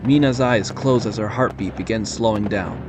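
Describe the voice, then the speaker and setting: A man's voice: fairly low pitch, normal speed, noisy background. A man narrating an audio drama from a script in a storytelling style.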